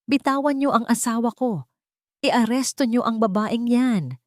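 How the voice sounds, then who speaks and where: A woman plays a furious wealthy husband in a dramatic audio story. The voice is raised in pitch, barking hot-tempered orders, recorded dry. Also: measured pace, a little mellow, no echo, close to an everyday voice. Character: angry protective rich husband, adult man, hot-tempered.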